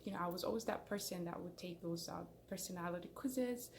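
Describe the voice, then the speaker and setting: A woman's voice: fairly low pitch, a little fast, a little hiss or hum. A young woman from Somalia chatting to camera as a channel host, rambling and self-conscious with many fillers and restarts. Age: young adult. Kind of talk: casual chat to camera. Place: small indoor room. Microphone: camera in front, head and shoulders framing.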